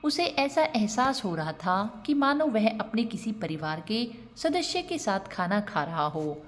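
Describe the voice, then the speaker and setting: A woman narrating a scripted moral story in an expressive, sentimental way. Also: medium pitch, unhurried. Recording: long reverb on the voice.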